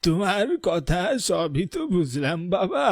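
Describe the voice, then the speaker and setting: An elderly cartoon villager with a notably high voice and a rural Bengali dialect. He is weary, folksy and given to grumbling. Light music runs underneath. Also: very animated, unhurried, creaky, dry recording, clean studio sound, heavily acted. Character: complaining old male villager, plain-spoken and weary. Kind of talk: dramatized cartoon dialogue.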